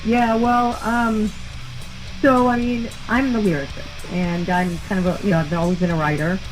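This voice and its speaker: A woman's voice: mid-range voice, level tone, moderate pace, music in the background, poor audio. A woman, lead singer of a band, talking as a guest in a casual radio interview, upbeat and chatty with many fillers.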